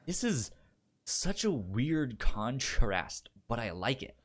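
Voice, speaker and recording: A man co-hosting a casual film chat, speaking informally and haltingly with a stammer that repeats sounds and syllables. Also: medium pitch, very animated, slight background noise. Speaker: film podcast co-host.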